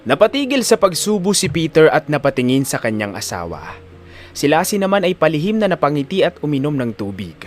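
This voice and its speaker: A man's voice: a little high, big pitch swings, brisk, soft background music. A man with a Filipino accent reading scripted, dramatic story narration.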